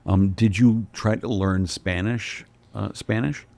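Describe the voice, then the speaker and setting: Low, gravelly-voiced male host. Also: steady tone, takes it slowly, mellow. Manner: curious, brief affirmations. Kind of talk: casual interview.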